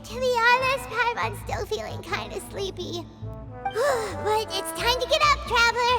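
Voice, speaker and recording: A woman voicing a tiny, chatty, excitable childlike fairy companion in a video game, exclaiming and referring to herself in the third person. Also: very high voice, normal speed, exaggerated voice. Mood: sleepy. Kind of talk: animated game dialogue acting. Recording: background music over studio game voice.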